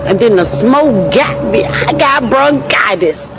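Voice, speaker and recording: A woman's voice: a little high, animated, slow pace. A woman telling a story in a colloquial, exclamatory way. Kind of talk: animated personal storytelling. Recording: played clip with loud music under it.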